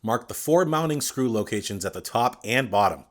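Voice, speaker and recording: A man reading a scripted step-by-step tutorial, addressing the viewer directly in a clear instructional manner. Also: medium pitch, animated, normal speed. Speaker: instructional video narrator.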